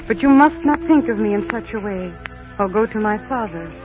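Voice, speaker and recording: An actress playing a gentle young Aztec princess, formal and earnest, in a 1940s radio romance. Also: female voice, mid-range voice, animated, normal speed, over music, close to an everyday voice. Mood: gracious, earnest. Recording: dull, hissy old radio recording.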